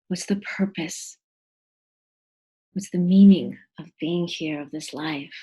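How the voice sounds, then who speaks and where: A woman leading a guided meditation in a soft, breathy, husky voice, gentle and deliberate, with pauses between phrases. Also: on the low side, rough recording.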